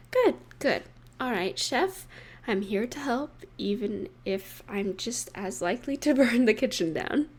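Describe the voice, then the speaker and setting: An affectionate girlfriend in an intimate roleplay audio, acted by a woman. Her voice sits somewhat high and she talks very slowly, leaving pauses. She is gentle and playfully teasing as she addresses her partner. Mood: cheerful, playful.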